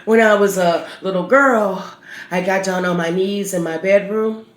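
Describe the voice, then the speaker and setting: Slow, grieving mother, acted by a woman, roomy echo. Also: mid-range voice, lightly acted. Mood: haunted, mournful. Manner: slow, weighty, halting dramatic delivery. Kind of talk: stage monologue performed on video.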